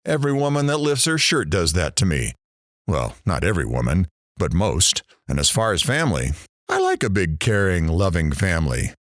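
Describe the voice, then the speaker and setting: Man acting a deep, brash radio host. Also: huge pitch swings, moderate pace, fairly bright tone, dry recording, studio quality, heavily acted. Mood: mocking. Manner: brash, teasing, showy. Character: brash, crude, nosy male radio talk show host. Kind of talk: radio talk show banter in an audio drama.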